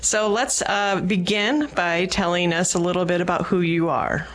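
A woman hosts a radio interview in a studio. Her voice sits slightly lower than most women's. She speaks as the interviewer, guiding a guest through questions. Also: fairly even intonation, moderate pace, a bit bright, low-quality recording.

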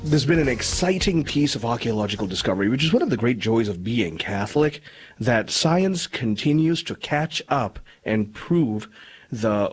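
A man hosting a faith interview show, his voice slightly husky and breathy. He introduces and questions his guest in a polite, measured manner with occasional fillers. His pitch sits in a typical middle range. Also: rough recording. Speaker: host.

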